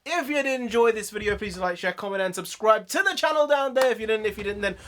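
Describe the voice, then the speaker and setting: A man ranting casually in an opinion vlog, his voice going hoarse, with lively swings in his delivery. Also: sits high, fast, noisy background. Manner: chatty, ranting, animated sign-off. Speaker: YouTube vlogger.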